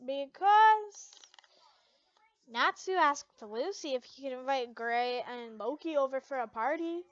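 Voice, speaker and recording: A woman hosting a fan fiction reading, reading aloud casually with chatty asides and stumbling over words in an informal, halting way. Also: high voice, lively intonation, no room sound, lo-fi sound. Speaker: fan fiction reader, channel host.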